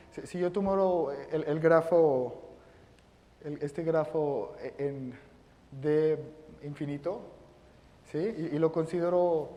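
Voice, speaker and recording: A man giving a math lecture, halting and often repeating his words. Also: a little high, dark tone, a little hiss or hum. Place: lecture room. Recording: reverberant lecture hall.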